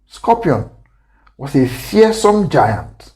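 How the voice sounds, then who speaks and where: A man from Africa narrating a scripted dramatic story as a storyteller, deliberate and pausing mid-sentence. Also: fairly high pitch, very animated, darkish tone, soft background music.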